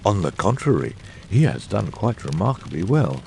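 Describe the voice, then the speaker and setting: A man playing a deep-voiced, theatrical gentleman detective. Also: very animated, vocal fry, slight background noise, exaggerated voice. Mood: self-satisfied. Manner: precise, erudite, theatrical delivery with deliberate pauses. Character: brilliant, eccentric middle-aged male detective, exacting and theatrical. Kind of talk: dramatised audiobook reading.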